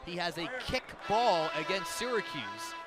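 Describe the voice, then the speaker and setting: A man doing live radio play-by-play of a basketball game, an excitable announcer who builds to loud calls on big plays. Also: high voice, a little slow, loud music behind the voice. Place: courtside in a large indoor arena. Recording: crowd noise, bouncing ball in arena. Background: arena ambience, basketball bounces.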